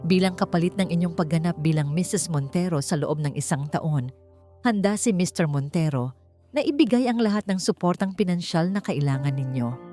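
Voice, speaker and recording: A woman's voice: fairly low pitch, expressive, moderate pace, dark tone, faint music behind, close to an everyday voice. A woman narrator voicing a formal, direct male lawyer in a storybook romance. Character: formal, emotionless male lawyer making a business offer. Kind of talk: storybook character dialogue.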